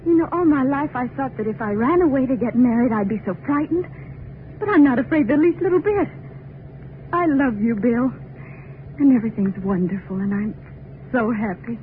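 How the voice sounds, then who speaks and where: A woman's voice: a little high, lively intonation, normal speed, lightly acted. An actress playing a giddy, breathless young bride in love with her new husband, in 1930s radio acting style. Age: young adult. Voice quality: breathless, sentimental. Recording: tinny old radio recording with faint hiss.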